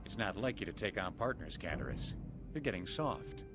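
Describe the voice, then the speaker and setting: A man's voice: low-pitched, sing-song, moderate pace, noisy background. An actor playing a cocky, menacing henchman in a video game, with a taunting, threatening manner. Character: cocky, menacing male henchman of a crime boss. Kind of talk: dramatic video game acting.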